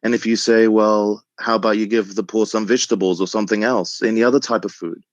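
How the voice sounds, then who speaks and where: A man in his thirties from Egypt calling in to the show, speaking in a relaxed, earnest way with frequent ums and laughs. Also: on the low side, fast, no echo, highs cut off. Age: 30s. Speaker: caller. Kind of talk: casual phone call chat.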